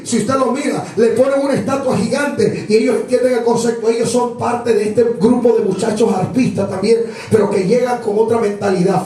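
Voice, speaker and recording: A man preaching a lively sermon with a Dominican accent, animated and joking with the congregation. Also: sits high. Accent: Dominican Spanish. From Dominican Republic. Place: church hall. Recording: echoey hall from far off, congregation.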